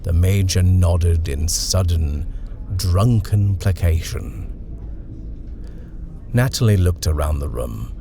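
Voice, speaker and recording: Male narrator for a horror audiobook, measured and expressive, unhurried. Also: low voice, a little hiss or hum. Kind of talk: audiobook horror story reading.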